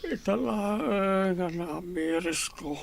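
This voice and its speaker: Ailing, remorseful Ilocano village man for radio drama, played by a male actor: high, creaky, groaning, slightly noisy track. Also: lively intonation, slow, heavily acted. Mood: regretful. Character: ailing, weary village man, gambler full of regret.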